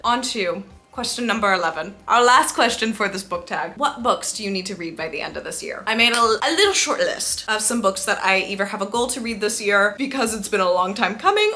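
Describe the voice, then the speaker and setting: A woman's voice: medium pitch, sharp tone. A young woman book vlogger chatting casually and enthusiastically, gushing and repeating words for emphasis. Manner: gushing, effusive, emphatic repetition. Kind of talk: casual enthusiastic chat to camera.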